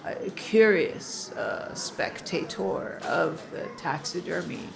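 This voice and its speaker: Woman playing a very gravelly, rambling small-town enthusiast. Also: sits low, very animated, music in the background, heavily acted. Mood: earnest excitement. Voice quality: creaky, gravelly. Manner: halting, repetitive, earnest. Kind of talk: improvised comedy audio drama. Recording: busy crowd chatter behind.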